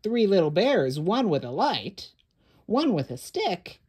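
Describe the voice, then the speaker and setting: Slow, theatrical woman reading to children. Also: mid-range voice, sing-song, darkish tone, breathy edge. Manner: suspenseful, theatrical, with whispers and gasps. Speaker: children's storytime host. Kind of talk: dramatic children's read-aloud.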